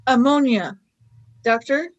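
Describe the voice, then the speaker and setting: A woman's voice: sits high, takes it slowly, highs cut off. A woman playing an eager, nervous young female companion in an amateur radio play. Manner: wondering, anxious questions.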